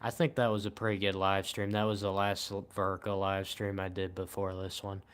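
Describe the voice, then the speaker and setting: A man in his twenties chatting casually on a late-night livestream in a flat, monotone, low-key way. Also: fairly quick, smooth. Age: 20s. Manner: monotone, calm and low-key, says he shows little emotion. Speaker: livestream host.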